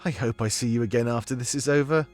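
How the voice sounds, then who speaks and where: An actor playing an earnest young rebel leader who talks in an encouraging, expressive way, voiced for a visual novel game. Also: male voice, medium pitch, quick pace, faint music behind, natural voice.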